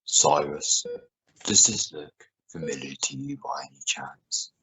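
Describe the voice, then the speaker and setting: A man playing a level-headed space mission crew member in an amateur radio drama, measured and matter-of-fact. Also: extremely low pitch, sharp tone, heavily acted. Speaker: amateur radio play actor. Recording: noisy home recording with clicks.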